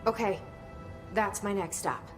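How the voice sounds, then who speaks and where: An actress voicing a guarded, determined young woman who has become an agency director, with a hushed, inward inner voice and blunt speech aloud. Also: mid-range voice, measured pace, natural voice. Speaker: video game protagonist. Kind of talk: cutscene dialogue and inner monologue. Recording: game audio with music and noise.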